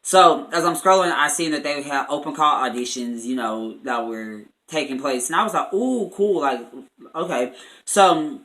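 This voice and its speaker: A man in his twenties with an American accent, telling a story to his viewers in a casual, chatty, animated and slangy way, as a vlogger. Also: a little high, moderate pace, rough recording. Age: 20s. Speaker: YouTube vlogger.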